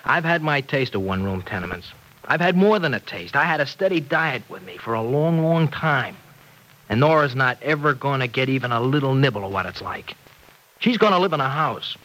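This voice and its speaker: Actor as animated, slangy young man in love. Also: medium pitch, lightly acted, rough recording. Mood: earnest, insistent. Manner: halting, boyish, streetwise slang. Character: earnest, slangy young working guy in love. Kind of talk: 1940s radio drama acting. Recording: old radio recording with background noise.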